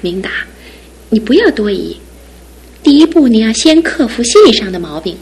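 An actress playing a caring young bride who speaks calmly and with gentle firmness. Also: female voice, a little high, normal speed. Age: young adult. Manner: warm, calm, gently firm. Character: caring new bride, steady and sensible. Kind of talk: 1970s radio drama acting.

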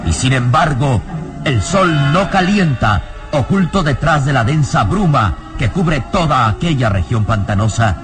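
A man's voice: mid-range voice, expressive, measured pace. A man narrating a radio drama in a solemn, theatrical style. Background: music.

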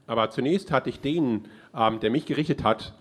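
A man with an Austrian accent in a mid-range voice interprets a sermon phrase by phrase. He speaks in a relaxed, casual way and often pauses on filler sounds as he works out each phrase. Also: mid-range voice, slightly rough. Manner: relaxed, with frequent "ähm" fillers.

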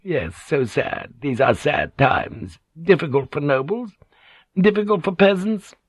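A man's voice: a little high, lively intonation, measured pace, husky, no echo, clean studio sound, voice pushed up. A man voicing a sly, aggrieved woman in an audiobook, falsely courteous, plaintive and insinuating. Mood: sly courtesy. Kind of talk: audiobook character dialogue.

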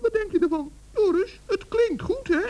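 A man's voice: extremely high pitch, animated, normal speed, mellow. An actor playing a small, kindly, fretful male forest gnome in a children's radio play, earnest and plaintive.

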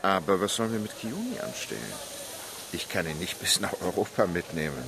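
Radio drama: polite, composed English gentleman, deep bass register, expressive melody. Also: noisy background. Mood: gracious. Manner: formal, polite, measured. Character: composed, generous, unflappable English gentleman traveller.

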